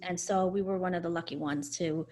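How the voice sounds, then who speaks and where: A woman's voice: on the low side, fairly even intonation, fairly quick, a little mellow. A woman in her forties, born in Afghanistan with Farsi as her first language, telling her family's refugee story in a candid, conversational way with many fillers and restarts. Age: 40s. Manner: candid, conversational, with frequent fillers and self-corrections. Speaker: guest sharing her life story. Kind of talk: personal storytelling interview.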